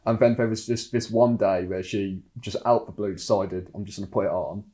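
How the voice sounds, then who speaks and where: A man's voice: on the low side, steady tone, a little fast, noisy background. A man casually telling a family story in a documentary interview.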